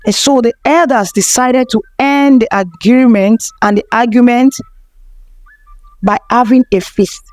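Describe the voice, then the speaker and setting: Slow, high-pitched woman storyteller. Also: a little mellow, dry recording. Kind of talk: scripted folktale narration.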